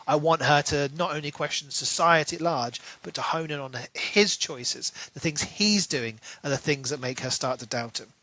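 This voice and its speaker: A man's voice: fairly high pitch, bright, forceful tone, no echo, low-quality recording. A man co-hosting a book podcast, speculating eagerly in a casual, conversational way. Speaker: podcast co-host.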